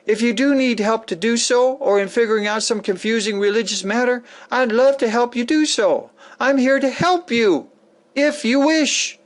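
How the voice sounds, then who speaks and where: Man acting a high-voiced humble believer. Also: normal speed. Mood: kind, earnest. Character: humble, kindly believer gently offering help.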